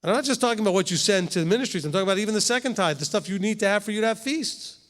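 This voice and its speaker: Fifty-something rabbi teaching in a hall. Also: male voice, high voice, expressive, racing, a bit bright. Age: 50s. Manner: conversational, keeps asking "okay?". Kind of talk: Torah study teaching. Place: congregation hall.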